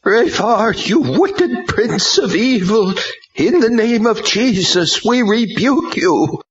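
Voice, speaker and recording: A man's voice: high-pitched, huge pitch swings, slow, a bit bright, husky, pitched above the performer's own voice, exaggerated voice. A man doing an old woman, fervent and stubborn. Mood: commanding. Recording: dull lo-fi recording.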